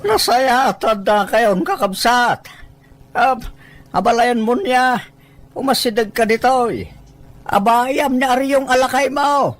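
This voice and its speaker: A woman's voice: on the high side, lively intonation, takes it slowly, faint music behind. A woman voicing a firm, peacemaking uncle who coaxes and mediates in an Ilocano audio drama. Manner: coaxing, mediating.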